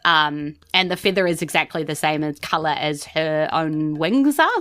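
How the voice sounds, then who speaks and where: A woman improvising narration as a game master in a casual, halting way. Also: sits low, a bit bright, faint music behind.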